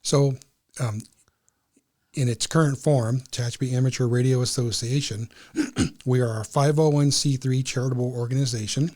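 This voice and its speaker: A man speaking as an interview guest, explaining things in a halting way with pauses between phrases. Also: medium pitch, darkish tone. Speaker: amateur radio club member, guest.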